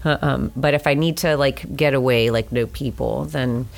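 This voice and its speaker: A woman with a strikingly low voice talks casually about her writing life. She rambles warmly through fillers and false starts, the way people do on an easygoing interview show. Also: fairly even intonation, moderate pace, gravelly. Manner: chatty, many fillers and restarts, laughs often. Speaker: romance author guest.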